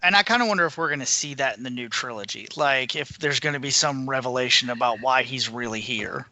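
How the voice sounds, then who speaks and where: A man's voice: mid-range voice, fairly quick, pushed and bright, highs cut off. A man chatting casually as a podcast panelist, building his thoughts in hesitant pieces. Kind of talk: casual chat.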